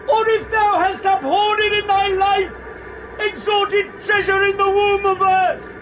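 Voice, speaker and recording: Declamatory British Shakespearean scholarly gentleman. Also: medium pitch, poor audio. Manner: declamatory, measured verse delivery. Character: grave scholarly gentleman confronting a ghost on night watch. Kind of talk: classical Shakespearean radio drama acting.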